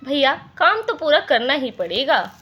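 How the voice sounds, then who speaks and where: A woman's voice: sits high, sing-song, a little slow, lo-fi sound. A woman voicing simple, trusting male village labourers in cartoon story dialogue, with plain, brotherly talk. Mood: worried.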